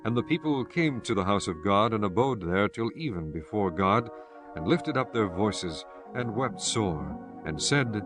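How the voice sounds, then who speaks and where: Low-voiced male scripture narrator over music. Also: animated. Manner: formal, measured storytelling. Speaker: audiobook narrator.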